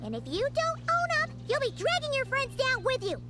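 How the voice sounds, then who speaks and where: Game role: feisty kid inventor, stubborn, dramatic, very high voice, animated delivery, music behind. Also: female voice, heavily acted. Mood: scolding. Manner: emphatic, theatrical, drawn-out words. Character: feisty young girl mechanic, stubborn and dramatic. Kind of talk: video game voice acting.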